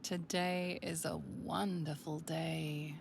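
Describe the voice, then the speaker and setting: An actress playing a grave, ominous dark fantasy narrator in a video game, hushed, menacing and deliberate. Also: female voice, low voice, flat delivery, creaky, background noise, heavily acted.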